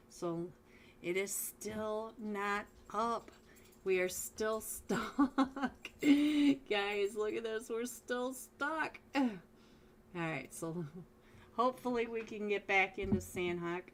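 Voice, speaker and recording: A woman casting a mobile esports match live as a solo caster, chatty and talking straight to viewers, with a voice she says is going hoarse. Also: medium pitch, a little hiss or hum. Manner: chatty, talks straight to viewers, frequent restarts. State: losing her voice. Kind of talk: live game commentary.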